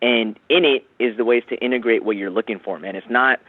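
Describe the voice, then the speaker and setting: Man pitching over a tinny phone line. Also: a little fast, no room sound. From San Francisco Bay Area, USA. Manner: slangy, persuasive, peppers talk with man, bro, dude. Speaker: phone sales representative for a self-help program. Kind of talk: casual phone sales pitch. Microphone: telephone.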